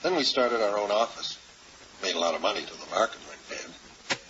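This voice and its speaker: A man's voice: lowish voice, expressive, normal speed, pushed and bright, a little hiss or hum. An actor playing a restless, confused middle-aged real estate salesman with a Canadian accent and a casual, rambling manner in a radio drama. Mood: reminiscing. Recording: dull 1980s radio broadcast with music.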